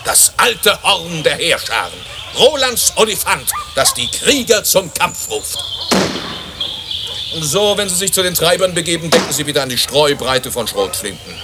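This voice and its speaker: A man's voice: on the high side, sing-song, a little slow, music in the background. A man in a German radio play acting a pompous, solemn hunting official with a declamatory, grandiloquent delivery. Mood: grandly solemn.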